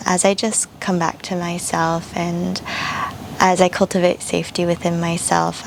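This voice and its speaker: A young woman from Japan, speaking in a soft, reflective way with frequent pauses and fillers. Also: fairly low pitch, creaky, slight background noise, poor audio. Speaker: vlogger. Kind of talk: reflective personal voice-over.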